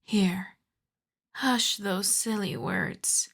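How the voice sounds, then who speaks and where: A woman playing a teasing, imperious older vampire countess in an ASMR roleplay, speaking deliberately and theatrically, with touches of breathy whisper. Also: mid-range voice, huge pitch swings, full character voice. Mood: proud, condescending.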